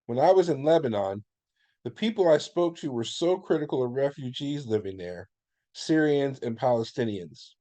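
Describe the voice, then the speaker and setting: A man moderating a panel over a video call, reading out audience questions slowly and deliberately. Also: medium pitch, expressive, warm tone.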